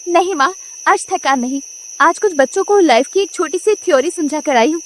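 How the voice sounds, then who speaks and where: A woman's voice: sits high, fairly quick, slight background noise, voice pushed up, close to an everyday voice. A woman voicing a self-assured samosa seller. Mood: confident.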